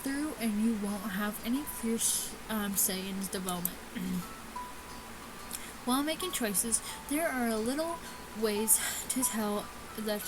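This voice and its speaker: A woman hosting a casual let's play, reading game text aloud in a halting way with small stumbles. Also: mid-range voice, animated, over music. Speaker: gaming video host. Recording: clearly noisy home recording.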